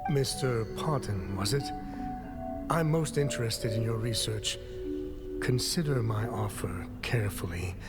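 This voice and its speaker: Man voicing a scheming investor, game character, polite and persuasive, loud music. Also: lowish voice, measured pace, natural voice. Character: smooth scheming male investor.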